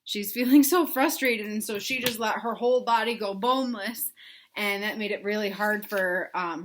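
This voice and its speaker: A woman reading a picture book aloud to young children, pausing to explain things simply and ask them questions. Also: mid-range voice, fairly bright tone, a little hiss or hum. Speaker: read-aloud storyteller for children.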